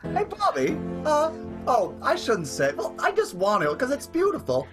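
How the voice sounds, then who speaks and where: A woman's voice: high-pitched, huge pitch swings, normal speed, music in the background. A woman playing a childlike, eager schemer, hesitant and gushing, in an improvised comic radio play. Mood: nervous flattery. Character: childlike, eager, cookie-loving schemer named Jack.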